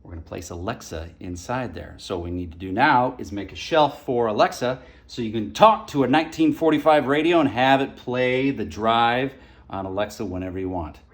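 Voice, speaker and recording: A man's voice: medium pitch, lively intonation, brisk. A man hosting a hands-on project, talking viewers through each step in a casual, folksy, wry way. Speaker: radio morning show host presenting a DIY project. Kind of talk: casual hands-on tutorial narration. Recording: music bed behind the voice. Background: clear background music.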